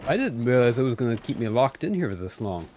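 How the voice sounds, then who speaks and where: A man's voice: medium pitch, sing-song, fast, darkish tone, a little hiss or hum. A man giving casual, playful live commentary as he streams a video game.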